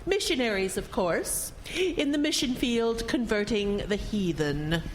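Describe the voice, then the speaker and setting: Radio play role: haughty, devout rich spinster played by an actress, high-pitched, sweeping intonation, formal and fearless. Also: female voice, expressive, a little slow, a little hiss or hum. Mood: prim, assured. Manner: formal, imperious, sanctimonious. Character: prim, wealthy, pious spinster, haughty and stubbornly fearless. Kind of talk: scripted radio drama acting.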